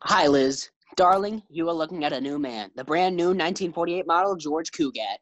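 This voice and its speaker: Casting: a woman doing an eager, bumbling young husband for a 1940s radio sitcom, very low, lively delivery, dry close sound. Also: moderate pace, no room sound, lo-fi sound. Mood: playful. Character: young husband George, eager bank clerk.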